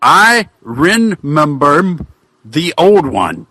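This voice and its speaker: A man acts out a dim-witted ghost of an old wrestler in a comic fan-fiction reading. He rambles in a bright, fairly high voice with big swings of pitch, folksy and bumbling. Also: very animated, drawn-out words, no echo, heavily acted. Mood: eager, bumbling. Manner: rambling, bumbling, colloquial delivery.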